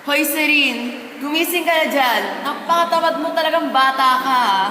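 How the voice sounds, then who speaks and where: A woman's voice: sits high, normal speed, very noisy, close to an everyday voice. A woman playing a stern, nagging mother in an amateur school radio drama. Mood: scolding. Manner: nagging, reproachful. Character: stern, scolding mother of a lazy teenage daughter. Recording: echoey hall with background chatter.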